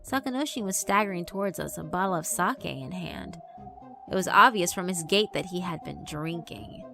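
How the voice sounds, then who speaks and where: A woman's voice: fairly low pitch, animated, moderate pace, vocal fry, pushed and bright, soft background music. A woman reading dramatic scripted narration for a visual novel.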